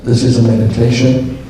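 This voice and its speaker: A man speaking at a conference, leading a guided meditation in a calm, slow, measured way. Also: medium pitch, a little hiss or hum. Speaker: meditation leader. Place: large conference hall. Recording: echoey hall, distant mic.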